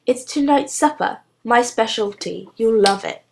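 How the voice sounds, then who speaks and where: Storybook witch, female, played by a woman reader: slovenly, ingratiating, exclamatory, on the high side. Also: measured pace, vocal fry. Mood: cheerful, proud. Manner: fussing, over-friendly, exclamatory. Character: gushing, smarmy, slovenly female witch, eager to please.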